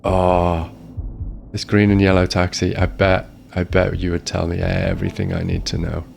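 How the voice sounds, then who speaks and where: A man's voice: low voice, monotone, normal speed, vocal fry, darkish tone, slight background noise. A man talking through a geography game, muttering his thoughts, his voice strained as if a cold is coming on. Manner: muttering thoughts aloud while searching. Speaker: streamer playing a geography game. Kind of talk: casual gameplay commentary.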